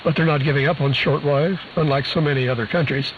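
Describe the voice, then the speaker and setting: A man's voice: a little high, fairly even intonation, fast. A man presenting a scripted shortwave news bulletin, precisely reading out figures and spelled letters. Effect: shortwave radio transmission. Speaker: radio program presenter. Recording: muffled, hissy shortwave radio broadcast.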